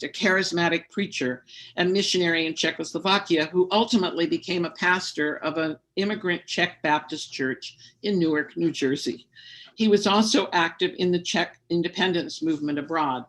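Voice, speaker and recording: A lay speaker reading a historical tribute aloud during a worship service, in a measured way with brief personal asides. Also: mid-range voice, fairly bright tone.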